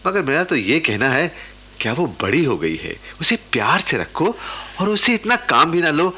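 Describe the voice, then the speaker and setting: Animated, exasperated middle-aged uncle. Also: male voice, on the high side, normal speed, husky, heavily acted. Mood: firm, reproachful. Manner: reasoning, protective, mildly exasperated. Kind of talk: Hindi radio play acting.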